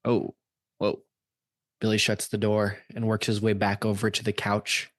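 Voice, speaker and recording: A man's voice: fairly low pitch, completely flat delivery, moderate pace, fairly bright tone, no room sound. Man narrating in a dry recording. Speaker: narrator and player in a radio play. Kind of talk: scripted narration of stage action.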